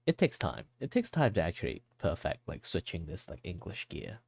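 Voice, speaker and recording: Young Malaysian male streamer, lowish voice, dry close sound, rambling chatty delivery that code-switches into Malaysian English. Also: wildly swooping pitch, moderate pace, creaky, breathy edge, no echo. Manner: rambling and chatty, with many fillers and restarts. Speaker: art streamer. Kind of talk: casual chat while drawing on stream.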